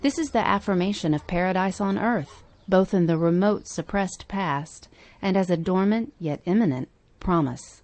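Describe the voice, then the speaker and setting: A woman podcast host reading scripted narration in measured, emphatic phrases. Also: on the low side, a little mellow.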